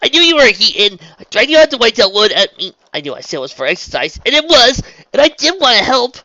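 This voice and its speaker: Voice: mid-range voice, lively intonation, unhurried, no echo, highs cut off, voice pushed up. A narrator voicing a guilt-ridden young female pegasus in a storybook, who blurts and stammers. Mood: tearful, guilty.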